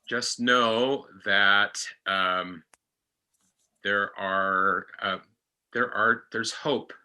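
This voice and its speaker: A man speaking haltingly and reassuringly as a panelist in a reflective podcast discussion. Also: fairly low pitch, fairly even intonation, smooth. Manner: halting, gentle wording, reassuring.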